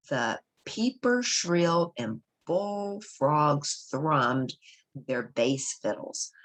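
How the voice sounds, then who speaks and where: A woman's voice: deep voice, a bit bright. A woman reading a children's novel aloud as a storybook narrator. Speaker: storybook reader.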